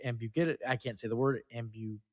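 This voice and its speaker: A man's voice: medium pitch, fast talker, dry recording. Man podcast host in a dry studio sound. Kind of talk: casual film trivia rundown among co-hosts.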